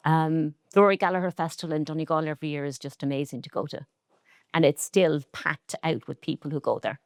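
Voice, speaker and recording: Low-voiced Irish woman, earnest. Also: steady tone, quick pace, no echo, studio quality. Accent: Irish, Cork. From Ireland. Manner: earnest, reflective, speaks with pride about heritage. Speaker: textile artist guest. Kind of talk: relaxed interview conversation.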